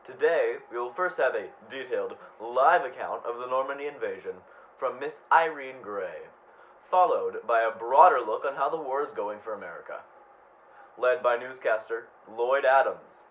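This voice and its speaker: A man's voice: mid-range voice, expressive, normal speed, lightly acted. A man playing an earnest 1940s American radio news anchor in a student radio drama. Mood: formal, announcing. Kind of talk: 1940s radio newscast acting. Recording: dull lo-fi audio with noise.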